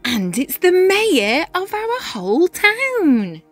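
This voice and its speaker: A woman reading a children's picture book aloud in an expressive, animated manner with dramatic pauses and emphasis. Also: very high-pitched, faint music behind. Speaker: read-aloud storyteller, teacher-style reader.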